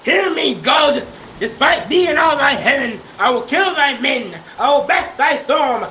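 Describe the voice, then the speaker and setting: A man's voice: extremely high pitch, lively intonation, a little slow, pushed and bright, a little hiss or hum, full character voice, rough recording. A young man playing a proud, blaspheming sea captain in theatrical old-time radio drama style, with archaic, declamatory speech. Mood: raging defiance. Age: young adult. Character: defiant blaspheming sea captain, proud, raging, later pleading.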